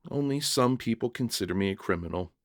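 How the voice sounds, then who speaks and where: A man voicing a kind, serene male prisoner in a story reading, speaking softly, slowly and with tender reassurance. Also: fairly low pitch, animated, no echo, clean studio sound. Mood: calm, content. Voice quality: soft, kind voice. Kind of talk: dramatic short-story reading.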